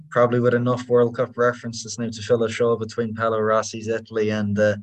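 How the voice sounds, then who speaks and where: A man hosting a conversational sports interview, putting questions in wordy, measured phrases. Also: on the low side, monotone. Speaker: podcast host, interviewer. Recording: distant from the microphone.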